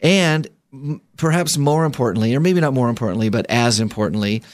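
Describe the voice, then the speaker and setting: A man's voice: medium pitch, fairly quick. A man hosting a radio show in a studio, chatting casually with plenty of fillers, restarts and easy laughter. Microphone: studio microphone.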